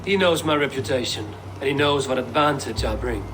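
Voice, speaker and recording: An actor playing a proud, battle-ready Viking raider in a video game, terse and defiant. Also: male voice, medium pitch, brisk, over music, natural voice. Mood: firm, proud. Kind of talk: video game cutscene acting.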